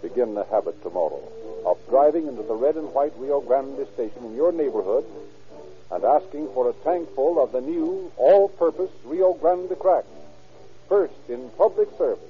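A male announcer delivers scripted 1930s radio copy in a formal, emphatic way. His mid-pitched voice comes through a thin, phone-like old recording. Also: expressive, moderate pace, faint music behind. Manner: formal, emphatic salesmanship and narration.

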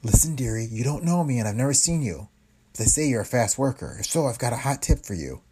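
A man's voice: medium pitch, animated, fast, mellow, breathy edge, pitched above the performer's own voice, rough recording. A man voicing a brassy, streetwise woman tipster who talks in tough slang and calls everyone dearie. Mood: conspiratorial. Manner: slangy tough-girl talk, keeps saying dearie.

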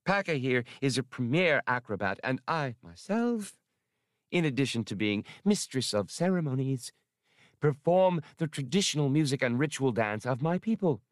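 A man's voice: mid-range voice, sing-song, moderate pace, no echo, clean studio sound, pitched above the performer's own voice, lightly acted. A man voicing a proud, effusive female alien mistress of ceremonies with formal, theatrical speech in an audiobook. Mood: effusive, grand.

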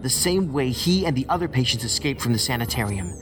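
A man acts out a reckless, stubborn federal agent in an audio drama. He sounds dry and sardonic, with insistent, clipped phrasing and a medium pitch, and music plays clearly behind him. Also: lively intonation, fast, close to an everyday voice. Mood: urgent, intense.

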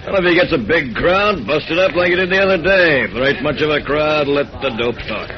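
A man's voice: a little high, expressive, fairly quick, crowd noise, lightly acted. Man acting a cocky American crime-boss politician, old radio. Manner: slangy tough-guy talk, dismissive. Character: heavy-set middle-aged male political boss, crooked, cocky, calculating. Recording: muffled old radio recording, busy room murmur.

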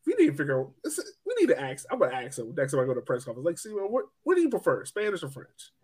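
A man's voice: fairly high pitch, huge pitch swings, rapid-fire, a little mellow, breathy edge. A man hosting a sports podcast, chatting casually in a joking, playful way. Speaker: podcast host.

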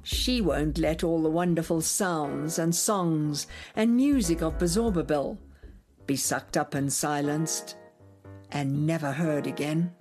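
A woman narrating a children's radio play as a playful, expressive storyteller speaking to young listeners. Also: low voice, slow. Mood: storytelling. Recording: music behind the voice.

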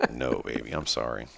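A man's voice: extremely low pitch, gravelly. A man in his forties, a podcast guest, chatting casually in a rambling, teasing, self-mocking way. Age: 40s. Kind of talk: casual chat.

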